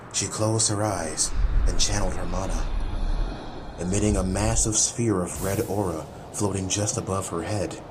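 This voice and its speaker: A man's voice: deep voice, background noise. A man reading scripted, dramatic action-fantasy narration as an audiobook narrator.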